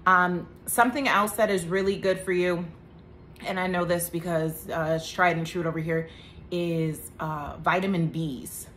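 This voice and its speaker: A woman in her thirties talks to camera about health in a chatty, joking way. Her voice sits a little low. A faint room hum lies under the recording. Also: steady tone, a little slow, fairly bright tone. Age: 30s. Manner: chatty, joking asides, faith talk. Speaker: vlogger. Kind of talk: casual health talk to camera.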